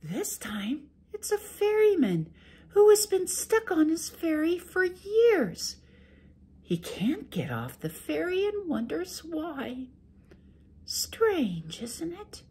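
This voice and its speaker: A woman voicing a sly young wife who speaks softly and sweetly in a high voice. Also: huge pitch swings, slow pace, heavily acted.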